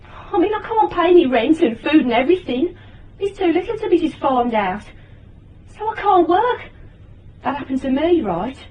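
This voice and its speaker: An actress playing a frightened young British single mother in radio drama, speaking in a halting, rambling way. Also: high-pitched, sing-song, far from the mic, lo-fi sound. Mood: distressed, desperate. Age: young adult.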